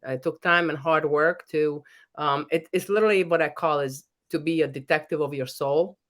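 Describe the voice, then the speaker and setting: Woman, hungarian accent, middle-aged, low voice, earnest podcast guest, restarts sentences. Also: fairly even intonation, moderate pace. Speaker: guest, professor and author. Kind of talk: podcast interview.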